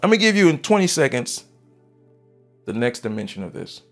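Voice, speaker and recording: A pastor in his thirties preaches on a church stage into a headset mic, his mid-range voice carrying a gravelly edge. He is fervent and emphatic, swinging from loud, punchy bursts down to hushed asides, with soft music faintly underneath. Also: medium pitch, sing-song, fairly quick. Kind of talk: sermon.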